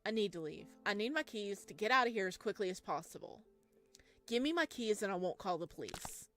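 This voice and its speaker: A woman voicing a frightened, indignant visitor in a horror visual novel game, sharp and accusing. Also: mid-range voice, expressive, a little fast, soft background music, close to an everyday voice. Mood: urgent. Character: frightened, indignant visitor trapped in a decaying house.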